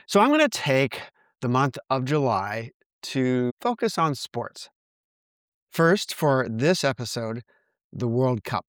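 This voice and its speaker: A man in his sixties from the United States hosting his own podcast, reading reflective narration in an earnest, measured way. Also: mid-range voice, clear, resonant tone, no echo, clean studio sound. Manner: earnest, measured, reads from a script. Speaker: podcast host.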